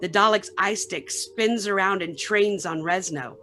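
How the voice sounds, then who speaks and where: A woman reads scripted narration for a radio play. Her pitch is middling, and there is faint noise behind her. She delivers stage directions as a narrator. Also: unhurried, bright, forceful tone.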